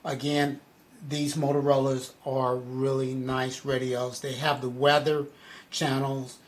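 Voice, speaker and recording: A man's voice: mid-range voice, little pitch movement, slow pace, slight background noise. A man giving a casual product review in a conversational way, repeating points for emphasis.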